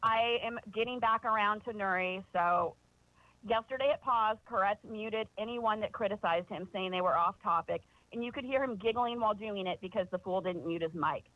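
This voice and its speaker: Brief: high-pitched man, cutting and sarcastic, heard dry and muffled over a phone line. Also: normal speed, no echo. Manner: sharp, accusatory, sarcastic. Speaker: public commenter calling in. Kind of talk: public comment speech.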